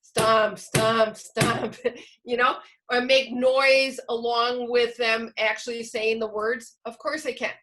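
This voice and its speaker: A woman presenting an online teacher training, speaking loudly and encouragingly as she explains and answers questions informally. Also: fairly high pitch, level tone, slow pace. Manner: loud, projected, encouraging. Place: online video call.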